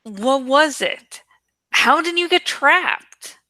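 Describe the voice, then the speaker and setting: A woman's voice: high-pitched, lively intonation, slow pace, pushed and bright, dry recording, pitched above the performer's own voice, heavily acted. A woman voicing a bold, demanding teenage girl from a novel, with a blunt, dryly approving manner.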